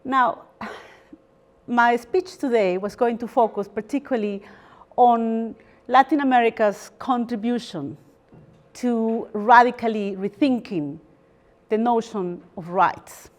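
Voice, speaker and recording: A woman from Peru, a barrister giving a formal university lecture in a measured, thoughtful way with frequent pauses. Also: a little high. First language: Spanish.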